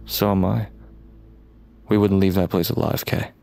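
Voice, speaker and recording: Halting, troubled young man. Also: deep voice, little pitch movement, creaky, warm tone. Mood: agitated, resistant. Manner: halting, stammering, guarded. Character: troubled, guilt-ridden, weary man in his late twenties. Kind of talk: naturalistic audio drama acting. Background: music.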